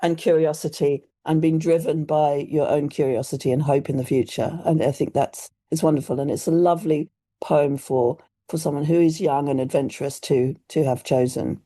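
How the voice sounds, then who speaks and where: A woman with a British accent hosting a poetry podcast, thoughtful and measured as she discusses poems. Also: low voice, fairly even intonation, dark tone. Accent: British English. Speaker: podcast host. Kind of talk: conversational podcast chat.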